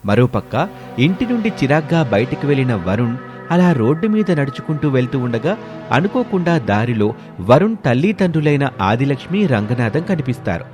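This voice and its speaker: A man's voice: medium pitch, sing-song, normal speed, darkish tone. A man narrating a scripted story as a storyteller. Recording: music behind the voice. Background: background music.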